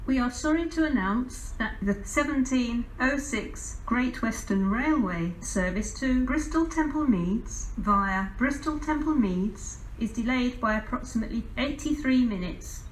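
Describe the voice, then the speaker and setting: A woman's voice: on the high side, animated. Distant English woman announcer over station PA. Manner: formal, measured, pieced-together delivery from recorded phrases. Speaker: automated railway station announcer. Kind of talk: scripted public address announcements. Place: railway station platform, heard over public address. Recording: distant station PA speakers, clear background noise.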